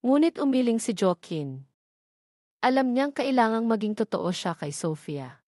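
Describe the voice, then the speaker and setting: A woman with a Filipino accent reading a romance story aloud as a steady, scripted narration. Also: a little high, unhurried, dry recording, studio quality. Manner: steady storytelling read, voicing all characters lightly.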